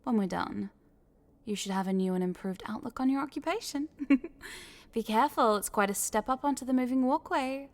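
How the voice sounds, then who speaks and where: A woman's voice: fairly high pitch, moderate pace, lightly acted. A woman voicing a scheming, smugly controlling corporate boss in a scripted audio roleplay, coaxing the listener in a condescending, teasing, businesslike way. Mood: amused, commanding. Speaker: voice actor.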